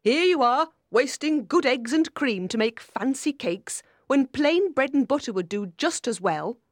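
A woman's voice: sits high, expressive, a little slow, dry recording, pitched above the performer's own voice. A woman playing stern older aunt, haughty, scolding, disapproving, storybook character, dry close sound. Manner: haughty, critical. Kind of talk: audiobook character voice.

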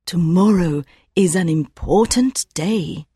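A man's voice: high voice, big pitch swings, slow pace, breathy edge, dry recording, clean studio sound, pitched above the performer's own voice, exaggerated voice. A man voicing an old wise mother mare. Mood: earnest advice. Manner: soft, earnest and admonishing.

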